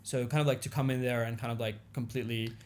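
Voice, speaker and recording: Young guy, indonesian first language, talks quick and casual on a podcast, repeats words a lot. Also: mid-range voice, steady tone, darkish tone. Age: young adult. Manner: repetitive, halting phrasing with frequent "you know" and "like". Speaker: podcast guest, business owner. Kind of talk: casual interview chat. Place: small indoor podcast studio, seated on a couch. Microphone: boom-arm studio mic with pop filter.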